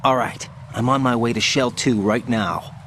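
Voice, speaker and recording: An actor playing an earnest young special agent in a video game, probing and idealistic. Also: male voice, medium pitch, normal speed, fairly bright tone, slight background noise, close to an everyday voice. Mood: focused. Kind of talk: video game cutscene acting.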